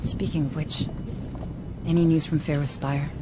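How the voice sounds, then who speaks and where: An actress playing a stern, composed corporate administrator in video game dialogue, with a brisk, challenging manner. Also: female voice, low voice, level tone, background noise, lightly acted. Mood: reflective, businesslike. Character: stern, composed corporate administrator, a duty-minded adult woman.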